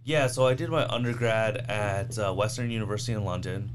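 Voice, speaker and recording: A man in his thirties, originally from Bangladesh, talking as a guest on a podcast in a relaxed, slangy way with lots of "like" and "um". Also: lowish voice, fairly quick, background noise. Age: 30s. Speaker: guest, physician. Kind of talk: casual podcast conversation.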